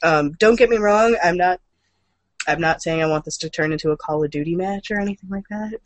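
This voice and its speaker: A man's voice: sits high, fairly quick, no room sound. A man co-hosting a casual gaming and comics news chat, informal and a little sweary in how he talks. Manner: informal, mildly profane. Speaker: show host.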